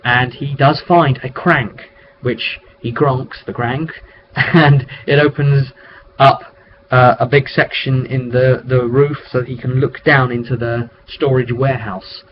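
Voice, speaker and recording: A man's voice: medium pitch, steady tone, unhurried. Man rambling on a dull webcam mic. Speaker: tabletop game master hosting a session recap vidcast. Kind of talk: casual off-the-cuff storytelling recap of a roleplay session. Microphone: webcam microphone. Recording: dull lo-fi webcam audio with noise.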